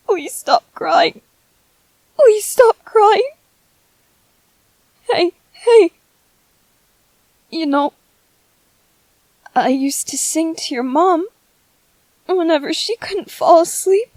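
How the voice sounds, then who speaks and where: A woman voicing a grieving young widow and mother in a dramatic monologue, slow and heavy with sorrow. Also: very high-pitched, darkish tone, dry recording, poor audio. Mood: soothing. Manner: slow, heavy with grief, at times tearful.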